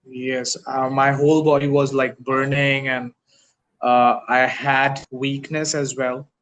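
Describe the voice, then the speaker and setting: A man's voice: medium pitch, level tone, slow, fairly bright tone, low-quality recording. A man from Pakistan, whose first language is Urdu, chatting on a video call in careful, hesitant English with frequent uhs. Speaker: English teacher and channel host. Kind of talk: casual video call chat.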